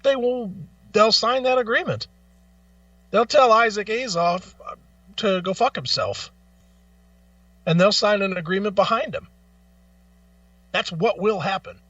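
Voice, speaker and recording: Male talk host, high-voiced and argumentative, blunt swearing, emphatic rant delivery, recorded dry and a little off the mic. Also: a bit bright, no room sound, distant mic, highs cut off. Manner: repeats phrases for emphasis. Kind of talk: opinionated music talk, ranting.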